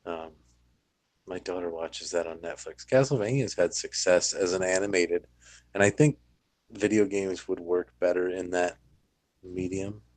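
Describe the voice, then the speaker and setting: A man's voice: low voice, unhurried, darkish tone, no room sound. A man chatting casually as one of the panelists on a podcast. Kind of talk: casual chat.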